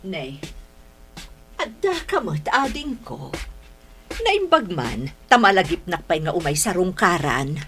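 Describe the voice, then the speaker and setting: A woman's voice: lowish voice, very animated, slow, pauses often, a bit bright, full character voice. A woman acting the part of an affectionate middle-aged mother in a radio drama. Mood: forgiving.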